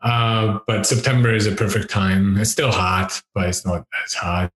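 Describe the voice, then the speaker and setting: Male guest, deep voice, slow pace, chuckles a lot, headset mic in an echoey room. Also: level tone, a bit bright. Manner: laughs often, thinks aloud with fillers. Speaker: podcast guest, education researcher. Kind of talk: casual interview chat. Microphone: over-ear headset with boom mic.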